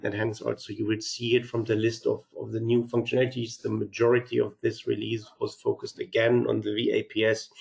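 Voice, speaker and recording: Male webinar host from Germany, voice strained by a cold, measured delivery, lots of ums and restarts. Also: medium pitch. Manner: measured, with frequent fillers and restarts. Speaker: webinar host, company CEO. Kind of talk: software product webinar presentation.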